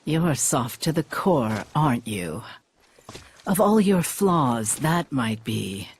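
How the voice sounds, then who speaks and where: A cold, low-toned villainous mentor. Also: female voice, big pitch swings, a little hiss or hum, heavily acted. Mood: weary contempt. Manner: condescending, measured, formal. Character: stern, cold female mentor turned villainous betrayer. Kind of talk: dramatic video game acting. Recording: orchestral music behind the voice.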